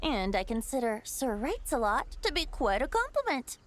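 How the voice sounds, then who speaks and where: A woman's voice: fairly high pitch, big pitch swings, moderate pace, slight background noise. A woman playing a youthful male bard in an anime-style game, playful and theatrical, with lofty poetic phrasing. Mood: playfully proud. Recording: music under the voice.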